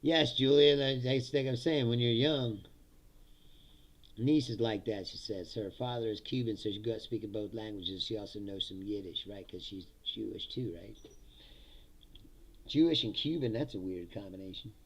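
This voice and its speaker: A man's voice: fairly low pitch, normal speed. A middle-aged man from Indiana chatting casually on a livestream, laughing often and slipping into mock voices.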